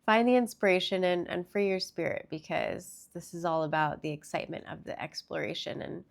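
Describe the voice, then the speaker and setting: A woman's voice: fairly low pitch, brisk, vocal fry. Sniffly woman chatting through a tarot reading. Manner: chatty, upbeat, with fillers and little laughs. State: slightly congested from a cold. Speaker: tarot reader. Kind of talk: casual tarot reading to camera.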